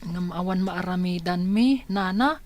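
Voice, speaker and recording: A woman's voice: on the low side, unhurried, darkish tone, dry recording, natural voice. Respectful, resigned orphan, acted by a woman. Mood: subdued. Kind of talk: audio drama acting.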